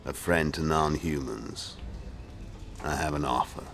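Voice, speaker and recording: Grim kingslayer, extremely low pitch, slow measured delivery, cold, commanding, terse, video game. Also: male voice, steady tone, creaky, a bit bright, slight background noise, full character voice. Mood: cold, calculating. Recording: music behind the voice.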